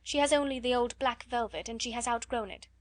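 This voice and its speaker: A woman's voice: a little high, fairly quick, dry recording, lightly acted. Woman playing a stammering, flustered spinster. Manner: stammering, anxious. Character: timid plump middle-aged sister, flustered.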